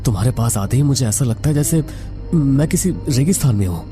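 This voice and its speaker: A man's voice: mid-range voice, animated, fairly quick, warm tone, soft background music, pitched above the performer's own voice, close to an everyday voice. A man playing an uneasy, sceptical young traveller, earnest and hesitant, in a horror audio story.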